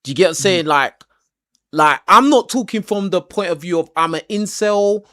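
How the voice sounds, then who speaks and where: A man's voice: sits high, animated, normal speed, bright, forceful tone, dry recording, clean studio sound. A man hosting a livestream debate, cutting in and repeating himself as he talks over his callers. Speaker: livestream host. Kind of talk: casual debate chat.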